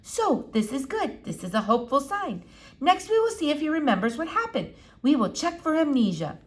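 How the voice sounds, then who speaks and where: A woman voicing an elderly, high-voiced, quaintly formal lady doctor. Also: big pitch swings, moderate pace. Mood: calm, reassuring. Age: older. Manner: formal, quaint syntax, digressive, soothing. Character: elderly foreign widowed philosophy doctor, gentle, rambling, precise. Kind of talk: children's book read-aloud.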